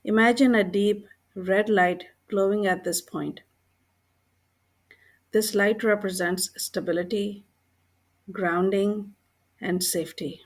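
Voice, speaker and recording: A woman narrating a scripted guided meditation in a calm, gentle way, with unhurried short phrases and pauses between them. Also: mid-range voice.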